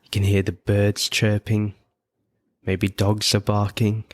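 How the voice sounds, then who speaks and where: Man, guided meditation host, lowish even voice, gentle unhurried phrasing, very dry close sound. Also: no echo. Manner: gentle, unhurried instruction with frequent pauses. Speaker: podcast host guiding meditation.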